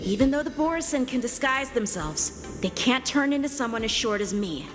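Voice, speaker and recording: A woman's voice: on the high side, expressive, moderate pace, natural voice. Woman doing a short earnest kid swordsman from a game, boyish and polite, echoey with music under it. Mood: alarmed, protesting. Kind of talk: video game character acting. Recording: long reverb, music behind.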